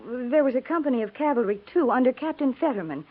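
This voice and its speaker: Practical army wife, tinny radio. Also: female voice, sits high, expressive, slightly rough. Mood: matter-of-fact. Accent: American. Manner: measured, matter-of-fact, with hesitant pauses. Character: practical, seasoned frontier army wife, neighborly but grave. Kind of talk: 1950s American radio drama acting. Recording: tinny, narrow-band 1950s radio recording.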